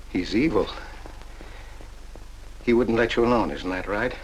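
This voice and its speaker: Meek middle-aged suitor, old melodrama: deep, hesitant, earnest pleading, noisy vintage soundtrack. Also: male voice, gravelly, full character voice. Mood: hurt, accusing. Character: meek, pleading, lovesick older man.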